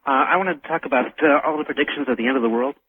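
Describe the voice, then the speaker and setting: A man's voice: mid-range voice, steady tone, rapid-fire. A man phoning a radio show, chatting casually and a little tentatively over the telephone. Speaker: radio phone-in caller. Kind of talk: casual phone-in chat. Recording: thin, muffled telephone line.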